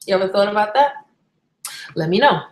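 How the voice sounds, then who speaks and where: A woman's voice: mid-range voice, lively intonation, brisk. A young woman from Barbados chatting casually on a live stream, candid and rambling, often correcting herself mid-sentence. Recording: echoey room.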